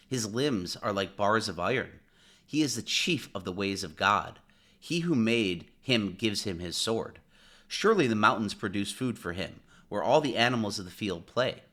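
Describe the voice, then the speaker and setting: A man giving a dramatic scripted narration of the Bible, in a solemn, declamatory manner with measured phrasing. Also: on the low side, a bit bright.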